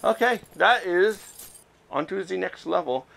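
Higher-voiced man chatting through VR gameplay. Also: lively intonation, unhurried, vocal fry, fairly bright tone. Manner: chatty, thinking aloud while playing. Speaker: gaming video host. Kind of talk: casual gameplay commentary. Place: indoors, playing in a VR headset in front of a camera. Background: game music.

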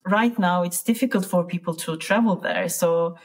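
A woman's voice: lowish voice, moderate pace. A woman from Turkey with a Turkish accent, talking casually as an interview guest and often searching for words. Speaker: interview guest, piano teacher.

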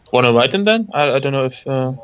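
A man's voice: mid-range voice, fairly bright tone, clean tone, no room sound. Young man, casual gamer chat, wry, dry close mic. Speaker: gamer on a voice call. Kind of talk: casual chat while gaming.

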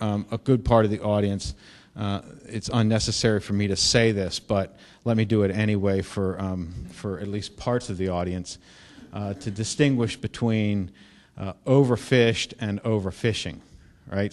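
A middle-aged man giving a conference keynote, explaining with frequent fillers and restarts, growing louder on key points. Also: lowish voice. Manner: halting, many fillers and restarts. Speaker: keynote speaker. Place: lecture hall. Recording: reverberant lecture hall.

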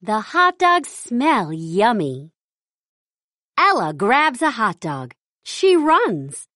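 A woman's voice: a little high, wildly swooping pitch, very slow, pushed and bright, dry recording, studio quality. A woman narrating a children's read-along storybook, reading carefully word by word for young readers.

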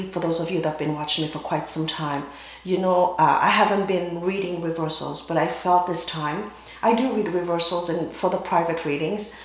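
A woman giving a tarot card reading while sick and losing her voice, pausing to clear her throat and cough. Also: on the low side, a little hiss or hum. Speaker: tarot reader. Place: indoors at a table with candles and crystals. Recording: very echoey room.

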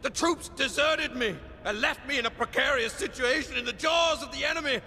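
A man's voice: extremely high pitch, a little fast, pushed and bright, slight background noise, heavily acted. A man voicing a hapless, blame-shifting crocodile army general in a cartoon, blustering and stammering out excuses. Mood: nervous, whining. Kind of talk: animated cartoon character acting.

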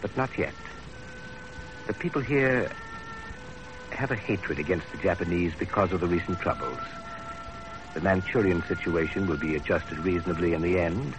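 Actor as a low-voiced, dignified older father. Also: natural voice, low-quality recording. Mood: solemn, fatherly. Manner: measured, formal. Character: formal, dignified older Chinese father writing his son. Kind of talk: 1930s radio drama, letter reading. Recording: old radio broadcast with music.

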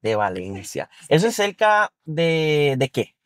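A man's voice: on the high side, big pitch swings, slow, fairly bright tone. A man hosting a casual, teasing interview inside a car. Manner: playful, teasing, jokey. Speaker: host.

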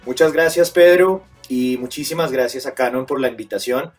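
A man in his forties with a Colombian Bogotá accent, speaking at a medium pitch. He talks through a webcam video call, gracious and rambling, with many fillers and restarted phrases. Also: normal speed, soft background music. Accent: Colombian Spanish, Bogotá. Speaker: guest photographer presenter. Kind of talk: webinar presentation. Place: home setup on a video call.

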